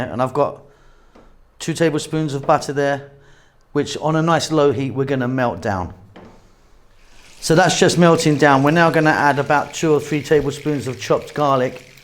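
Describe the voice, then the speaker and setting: A man talks through a recipe at the stove as a cooking channel host. His voice sits at an everyday middle pitch. He chats in a relaxed, easygoing way and thinks aloud as he goes. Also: moderate pace. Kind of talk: casual cooking demonstration.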